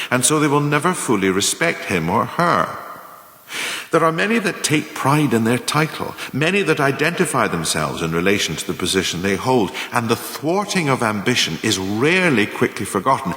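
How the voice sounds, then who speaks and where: A man in a radio play voicing a scheming, self-assured management mentor who lectures formally with deliberate, emphatic phrasing. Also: fairly low pitch, fairly bright tone. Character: scheming, self-assured male management mentor dispensing cynical career lessons. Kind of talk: scripted dramatic monologue, like a lecture of numbered lessons. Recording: very echoey, long hall-like reverb.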